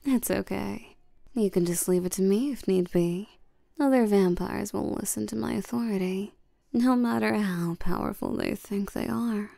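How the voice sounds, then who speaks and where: A woman playing a regal, seductive and domineering vampire queen in a scripted roleplay audio drama, coaxing and commanding the listener with soft menace. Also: mid-range voice, moderate pace, vocal fry, dry recording, studio quality. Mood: smooth, probing.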